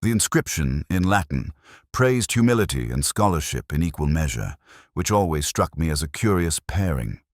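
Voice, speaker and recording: A man playing a melancholy police detective, narrating a mystery in a measured, literary, reflective way. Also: rumbling low, sing-song, no echo, clean studio sound. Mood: somber, observant. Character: brooding, melancholy male police detective narrating his case. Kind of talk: scripted first-person mystery narration.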